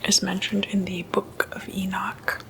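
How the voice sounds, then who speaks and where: Woman, whispered ASMR, very slow halting phrases, flat low-key intonation, informal lecturing. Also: vocal fry, rough recording. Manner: hushed, unhurried, short halting phrases. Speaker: ASMR host.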